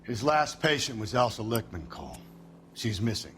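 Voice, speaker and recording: An actor playing a slangy, wry 1940s police detective in a noir video game. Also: male voice, fairly low pitch, very animated, moderate pace. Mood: wry, businesslike. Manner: colloquial, hard-boiled. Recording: clear music behind the voice.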